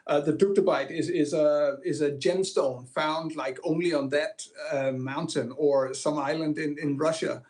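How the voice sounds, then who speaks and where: Hesitant man with a higher voice. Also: steady tone, measured pace, few pauses, a little mellow. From Greenland. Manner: hesitant, many fillers and restarts. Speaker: filmmaker and film teacher, guest. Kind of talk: casual interview answers.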